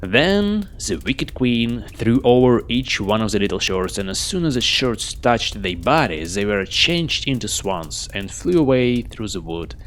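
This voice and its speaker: A man reading a fairy tale aloud as a storyteller, expressively and with measured, theatrical delivery. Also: on the low side, sing-song. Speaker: storyteller, reader. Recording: noisy home recording.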